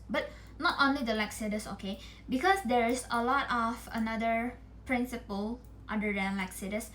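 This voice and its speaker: A woman's voice: mid-range voice, a little hiss or hum. A young woman, a student presenting a law assignment in a casual, explanatory way, often restarting her sentences. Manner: casual, restarts often, many fillers like "like" and "lah". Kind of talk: informal explanatory class presentation.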